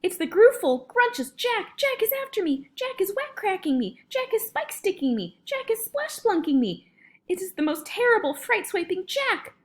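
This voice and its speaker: A woman's voice: very high-pitched, sing-song, normal speed, voice pushed up. A woman voicing a huge brutish male giant in a storybook, screaming and begging in terror. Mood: screaming panic. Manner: screaming, bellowing, panicked begging. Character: terrified huge brutish man-eating male giant, pleading wildly. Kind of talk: animated storybook read-aloud.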